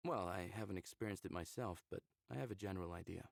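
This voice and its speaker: A man's voice: deep voice, fast, vocal fry, dry recording, clean studio sound. A man playing a friendly, curious human lover in a visual novel, speaking casually and inquisitively. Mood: curious, sympathetic. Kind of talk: visual novel character acting.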